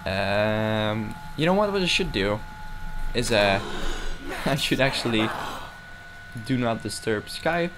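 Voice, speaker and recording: Young guy chatting slow while he plays, jokes at himself, game noise under him. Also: lowish voice, animated. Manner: rambling, self-mocking, talks to the game. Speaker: gameplay commentator. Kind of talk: casual gameplay commentary. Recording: noisy game audio behind.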